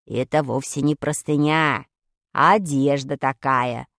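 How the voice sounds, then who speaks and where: A woman voicing a serious, bossy schoolboy in a children's story, speaking deliberately and emphatically. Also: rumbling low, steady tone, fairly bright tone, dry recording, studio quality, voice pushed down, exaggerated voice. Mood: explanatory. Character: slow, serious, assertive schoolboy, bossy friend.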